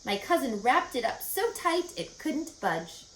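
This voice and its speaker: A woman voicing a kindly, bossy, quick-witted female spider in a children's storybook, articulate and telling tales with relish. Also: high voice, big pitch swings, echoey room, a little hiss or hum, voice pushed up, rough recording. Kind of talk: children's storybook read-aloud.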